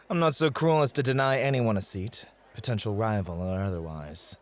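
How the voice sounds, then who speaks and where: A man's voice: fairly low pitch, moderate pace, lightly acted. A man playing a suave, possessive nightclub host in an ASMR roleplay, pointedly polite and sardonic as he guards his territory. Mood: smug, territorial.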